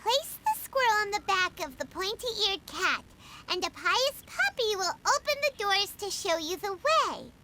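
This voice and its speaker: A woman voicing a tiny, excitable, childlike fairy companion in an anime game, exclaiming as she talks. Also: very high voice, measured pace, background noise, full character voice. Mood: puzzled. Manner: speaks of herself in the third person, exclamatory.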